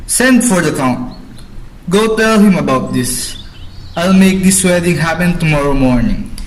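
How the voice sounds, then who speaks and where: A man's voice: fairly high pitch, animated, measured pace, rough recording. A man playing a blustering middle-aged father who orders his household about in a radio drama. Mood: commanding. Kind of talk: student radio drama acting. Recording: amateur recording with background noise.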